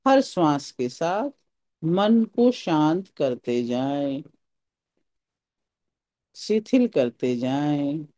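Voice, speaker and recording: A meditation teacher giving calm, soothing guided instructions in short phrases with pauses. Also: medium pitch, no echo.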